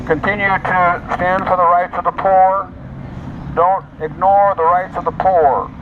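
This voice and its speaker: A man's voice: high-pitched, flat delivery, unhurried. A man giving an impassioned, emphatic advocacy speech with religious appeals and repeated slogans through a megaphone. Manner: exhorting, emphatic, repetitive slogans. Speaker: homeless advocate, street speaker. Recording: noisy, dull megaphone sound outdoors with traffic.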